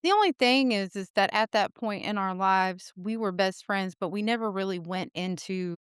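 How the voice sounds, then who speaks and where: A woman's voice: medium pitch, moderate pace, clear, resonant tone, dry recording, clean studio sound. A woman in her forties with a Southern American accent, a podcast guest chatting casually and laughing easily. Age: 40s. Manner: laughs easily, talks in loose run-on sentences. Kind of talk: casual conversation.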